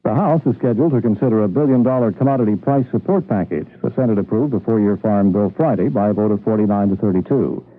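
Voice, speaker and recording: A man's voice: fairly low pitch, quick pace, hardly any pauses. An American man reading a scripted radio newscast as its anchor. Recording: muffled narrow-band old radio broadcast.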